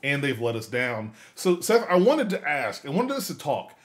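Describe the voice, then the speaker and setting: A man's voice: on the high side, very animated, normal speed. A man hosting a podcast in a casual, conversational way, with an enthusiastic manner. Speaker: podcast host. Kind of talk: casual chat.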